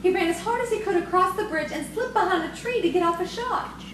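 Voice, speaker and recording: A woman's voice: extremely high pitch, quick pace. A woman playing a coy, proud young farmer's daughter in audio theatre, with an earnest storytelling manner. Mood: earnest, suspenseful. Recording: distant, very echoey, noisy stage recording.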